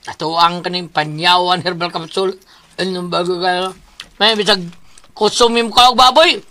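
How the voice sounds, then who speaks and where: A man's voice: high voice, fairly even intonation, normal speed, bright, forceful tone. A man playing a cocky drinking buddy in a comedy drama, boastful and repetitive, with a Cebuano accent. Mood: teasing.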